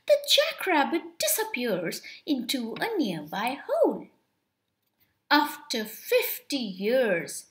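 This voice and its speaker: A young woman reads a children's picture book aloud expressively and deliberately, drawing out words. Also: high voice. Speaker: storybook reader for children.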